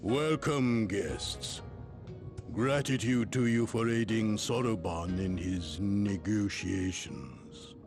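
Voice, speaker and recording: An actor playing a wise old turtle-like clan elder in a video game, formal and solemn, speaking in measured oracular phrases. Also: male voice, fairly low pitch. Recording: prominent music behind the voice.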